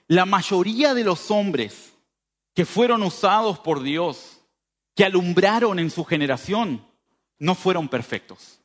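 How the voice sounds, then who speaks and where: A man of thirty-five with a northern Uruguayan border accent preaching informally, speaking straight to his listeners and repeating phrases for emphasis. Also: high-pitched. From northern Uruguay, near the Brazilian border. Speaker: preacher at a youth church meeting. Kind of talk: conversational sermon.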